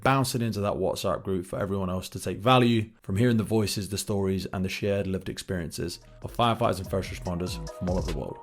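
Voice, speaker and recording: A man from the UK hosting a podcast, talking in an enthusiastic, chatty way while interviewing guests outdoors at an event. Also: on the low side. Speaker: podcast host. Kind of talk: casual interview and show intro.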